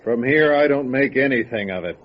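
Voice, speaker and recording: A man playing a gruff, stubborn, guarded mountain recluse in an old-time radio drama. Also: mid-range voice, lively intonation, fairly quick, natural voice. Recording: dull lo-fi radio drama recording, faint noise.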